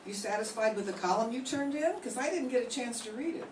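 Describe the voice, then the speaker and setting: A wisecracking, practical roommate, voiced by an older woman, full of dry asides in a lively, bouncing voice. The voice sounds distant in an echoey classroom with faint hiss. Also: fairly high pitch, fast, close to an everyday voice. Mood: sardonic. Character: wisecracking practical roommate who also narrates. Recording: roomy classroom, far from mic, faint hiss.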